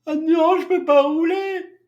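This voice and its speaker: Man doing a high shrill complaining woman, slow and whiny, a bit off-mic. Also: darkish tone, dull audio. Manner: high whining mimicry, drawn out. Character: tearful middle-aged woman complaining, whiny.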